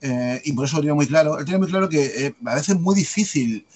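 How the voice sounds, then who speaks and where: A man with a light Andalusian accent, a guest talking at length in a rapid, discursive way and tagging on questions. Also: a little high, darkish tone, husky. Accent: light Andalusian Spanish. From Andalusia, Spain. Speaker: guest, publisher and author. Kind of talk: conversational interview answers.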